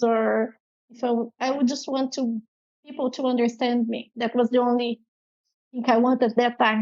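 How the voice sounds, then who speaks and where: A woman's voice: a little high, a little mellow, lo-fi sound. A woman from Brazil with a strong Brazilian accent, a native Portuguese speaker, chatting casually as an interview guest and laughing often as she tells stories.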